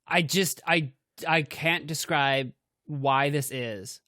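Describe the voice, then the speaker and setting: Higher-voiced young man, dry close mic. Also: takes it slowly, bright, forceful tone, no echo. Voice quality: higher-pitched voice. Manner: laughs often, lots of "like" fillers. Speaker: podcast co-host. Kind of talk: casual chat. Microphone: home mic over voice chat.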